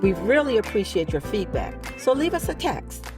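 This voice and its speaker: A woman reading a scripted podcast introduction in a smooth, upbeat promotional tone. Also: mid-range voice, big pitch swings, moderate pace. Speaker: show announcer. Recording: voice over background music.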